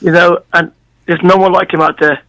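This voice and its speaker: Hoarse caller, high dry voice. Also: male voice, fairly quick, no echo, low-quality recording. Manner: earnest, excited and grateful, repeating fillers. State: hoarse, lost voice.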